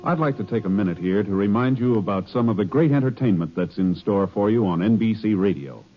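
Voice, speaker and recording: An American man working as a 1950s radio network announcer, reading scripted copy in a polished, formal, measured promotional delivery. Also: fairly low pitch, animated. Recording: dull 1950s radio recording, faint music.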